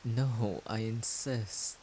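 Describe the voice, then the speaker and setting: A man playing a caring, shy young friend in an intimate audio roleplay, soft-spoken and hesitant as he gently reassures the listener. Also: fairly low pitch, extremely slow, poor audio. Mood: awkward, hesitant. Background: rain-like noise.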